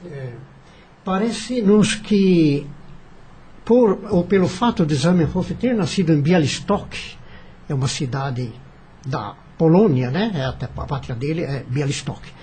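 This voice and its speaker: A man with a Brazilian accent and a husky voice, speaking earnestly and formally as he reflects in a discussion. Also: on the high side, lively intonation, a little slow, dark tone, a little hiss or hum. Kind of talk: reflective discussion recounting a story.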